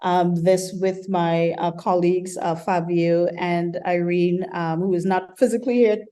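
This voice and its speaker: Mellow-voiced woman hosting. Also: fairly low pitch, steady tone. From Trinidad and Tobago. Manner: measured, with frequent ums and uhs. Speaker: architecture professor and colloquium host. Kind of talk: formal welcome talk at an online colloquium.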